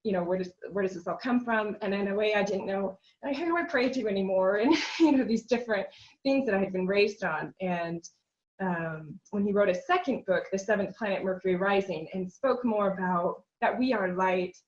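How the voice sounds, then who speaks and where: A woman talks at an ordinary pitch as a podcast guest, telling a long story earnestly. She rambles with "um" and "you know" along the way. The recording sounds a little dull and lo-fi. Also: normal speed. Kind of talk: casual interview chat.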